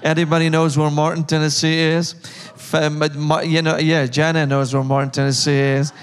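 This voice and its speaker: A man's voice: a little high, flat delivery, moderate pace, clean tone. A middle-aged man from Syria, giving an earnest, fervent personal testimony as a guest speaker in a church. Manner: earnest, building to fervent emphasis. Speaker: ministry leader and guest speaker. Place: church sanctuary. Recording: reverberant church hall.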